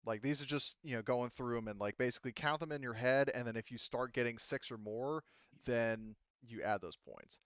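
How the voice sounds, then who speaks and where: Man, game master, smooth clear voice, casual halting explanations, dry slightly muffled recording. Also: mid-range voice, no room sound. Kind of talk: casual chat, running a tabletop game session.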